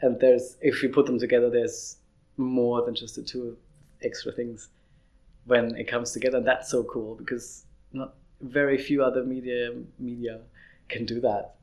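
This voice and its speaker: A man's voice: mid-range voice, level tone, normal speed, darkish tone. A young man, an illustrator, chatting in an interview, rambling with frequent restarts. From Austria. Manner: rambling, restarts often, laughs easily. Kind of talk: casual interview chat.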